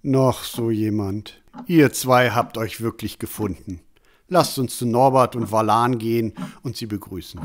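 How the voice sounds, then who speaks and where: A man's voice: mid-range voice, a little slow, natural voice. A man playing a protective village father in a fantasy audio drama. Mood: admonishing, resigned.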